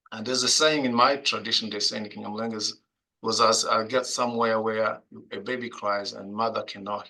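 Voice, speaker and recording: Congolese guy on a video call, low gravelly husky voice, bright tone, lots of uh and restarts. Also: low-quality recording. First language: Kinyamulenge. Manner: halting, with frequent fillers and restarts. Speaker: panelist. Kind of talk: webinar self-introduction.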